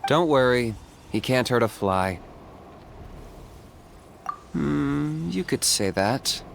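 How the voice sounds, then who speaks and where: A man voicing a calm, pragmatic young hunter in a video game, measured and understated. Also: mid-range voice, vocal fry, very noisy. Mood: dry. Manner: measured, understated, matter-of-fact.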